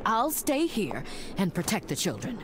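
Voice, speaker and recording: A stern, sardonic female agent who teaches recruits. Her authoritative voice swings expressively, over clear background ambience. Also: mid-range voice, huge pitch swings, moderate pace, background noise. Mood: grave. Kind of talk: animated video game acting.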